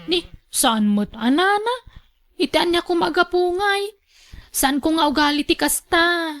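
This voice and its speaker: A young household helper with a Filipino accent, very high in pitch, polite and inquisitive as a woman acts her in radio drama style. She is deferential to her elders but asks a lot. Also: animated, a little slow, a bit bright, heavily acted. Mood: apologetic refusal. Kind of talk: Ilocano radio drama acting.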